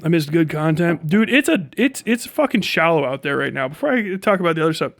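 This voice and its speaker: Man, slightly high voice, casual livestream chat, blunt, sweary, close dry mic. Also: animated, a little fast, creaky, a bit bright, no room sound. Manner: foul-mouthed, frequent heavy swearing. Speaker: livestream host and content creator. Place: indoor home streaming setup. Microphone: close studio microphone.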